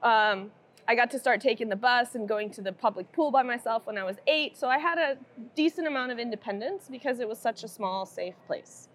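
A woman from Iceland, a podcast guest telling her backstory in a relaxed, chatty way. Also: a little high, fairly quick. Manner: relaxed, chatty, laughs easily. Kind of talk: casual interview conversation.

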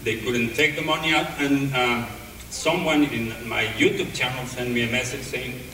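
A man with a non-native English accent giving a talk to an audience, speaking haltingly with many pauses and restarts. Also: mid-range voice, fairly even intonation, bright, forceful tone, a little hiss or hum. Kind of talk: presentation to an audience. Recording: echoey hall, voice from far off.

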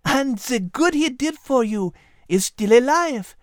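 Actress as prim French lady cat, children's podcast character, high voice, gentle, polite, close dry sound. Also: lively intonation, a little slow, no echo. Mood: gentle, consoling. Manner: polite, encouraging. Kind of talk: scripted character banter.

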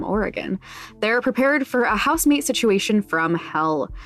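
A woman delivers scripted narration as a fiction podcast's announcer. Her pitch is average for a woman, and light music runs underneath her reading.

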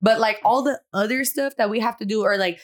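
A woman's voice: on the high side, brisk. A woman in casual podcast chat, slangy and emphatic, often acting out thoughts as she explains a point. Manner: slangy, emphatic, explains through imagined self-talk. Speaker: podcast co-host.

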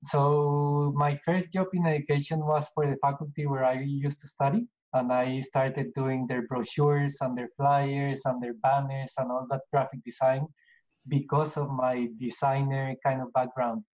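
A middle-aged man from Colombia with a Colombian Spanish accent, answering interview questions in a casual, halting way with many restarts and fillers. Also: medium pitch, level tone, a little mellow, low-quality recording. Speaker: podcast guest, business owner.

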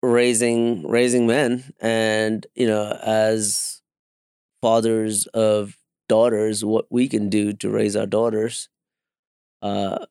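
Low gravelly guy on a podcast, smooth but raspy-edged, trails off, dry mic. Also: completely flat delivery, takes it slowly, a little mellow, no room sound. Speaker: podcast co-host. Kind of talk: casual podcast chat.

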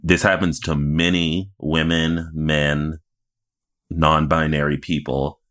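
A man's voice: rumbling low, level tone, slow, fairly bright tone. A man co-hosting a podcast in a casual, conversational recap style, acting out imagined reactions as he goes. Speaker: podcast co-host.

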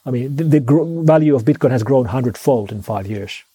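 A man's voice: medium pitch, expressive, brisk, mellow, husky, no echo. A man with a Finnish accent, a native Finnish speaker, talking as an interview guest with dry humor and frequent repeated words. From Finland. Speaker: guest author. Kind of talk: conversational interview.

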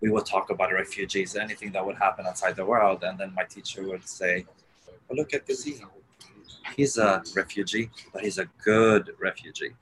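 A man from Sweden, a guest author telling his life story in an interview, soft-spoken and halting, with many restarts. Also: deep voice, fairly even intonation, a little hiss or hum, rough recording.

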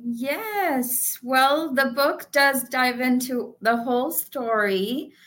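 A woman's voice: high voice, slow pace, fairly bright tone. Earnest woman guest from India. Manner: earnest, positive, many fillers. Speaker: guest, business coach. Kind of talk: casual interview.

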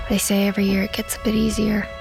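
A woman's voice: medium pitch, vocal fry. An actress playing a weary, caring woman in grief, in a naturalistic audio drama. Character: grieving, weary small-town woman, subdued and caring.

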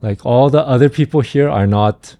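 A man in seminary sharing his story in casual chat, halting and self-deprecating with many fillers. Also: medium pitch, mellow. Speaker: podcast guest, seminary student.

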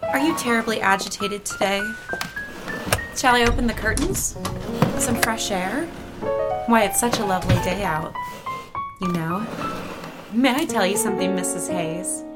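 An actress playing a prim, meddling secretary, solicitous and easily flustered, in a period audio drama. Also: female voice, medium pitch, normal speed, long pauses, over loud music, close to an everyday voice. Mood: solicitous, chatty. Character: fussy, meddling, prim female secretary and minder.

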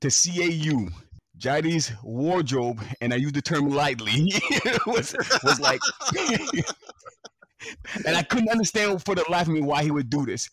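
A man's voice: on the high side, big pitch swings, measured pace, a bit bright, no echo. An American man chatting casually, telling teasing stories in slangy, wry speech. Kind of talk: casual chat, teasing storytelling.

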